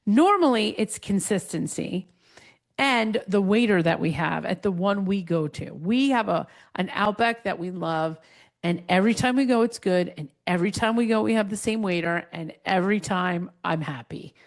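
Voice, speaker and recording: Brief: woman podcast host, quick and lively storyteller, casual, a middling pitch. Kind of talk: casual interview chat.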